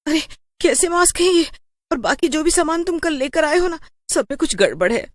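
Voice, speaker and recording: A woman acts out an alarmed, scolding wife in a cartoon horror story. She orders her husband around in a high, exclamatory voice, urgent and nagging, with a dry studio sound. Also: lively intonation, normal speed, dry recording.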